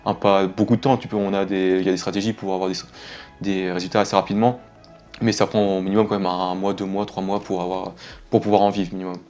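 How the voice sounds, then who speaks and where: A man's voice: deep voice, little pitch movement, quick pace, darkish tone, muffled recording, soft background music. A man in his twenties chatting casually as an interview guest, informal and rambling. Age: 20s. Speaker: interviewed web entrepreneur. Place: small indoor room with wooden ceiling.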